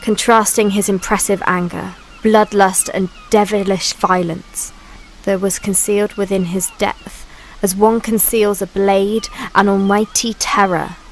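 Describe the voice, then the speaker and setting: A woman plays the Sea itself, narrating a radio drama. She is grave and brooding, speaking slowly in measured poetic phrases at a medium pitch, with faint watery ambience behind her. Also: little pitch movement, slight background noise, close to an everyday voice, rough recording.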